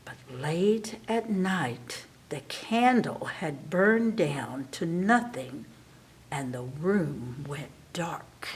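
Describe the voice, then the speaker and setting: A woman librarian reading a fairy tale aloud at storytime. Her voice sits low and her delivery is slow and unhurried. She reads gently and at times drops to a soft whisper. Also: expressive. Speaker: children's librarian.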